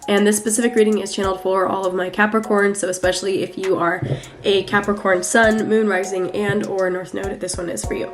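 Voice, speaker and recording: A woman's voice: medium pitch, flat delivery, brisk, music in the background. Young woman, flu-congested and nasal, chatty tarot reader who rambles with lots of fillers. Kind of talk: casual weekly tarot reading.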